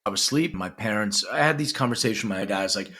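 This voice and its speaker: A man with a low voice tells his own life story as a podcast guest. He grew up in Philadelphia after coming over from Lithuania as a child. He speaks in a relaxed, conversational way. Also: quick pace, husky. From Lithuania, raised in Philadelphia. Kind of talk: storytelling interview.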